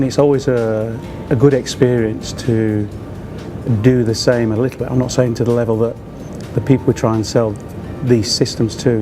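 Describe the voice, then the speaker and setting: A man chats informally as an exhibitor being interviewed. His voice sits at a middling pitch with very even intonation, and music is clearly heard behind it.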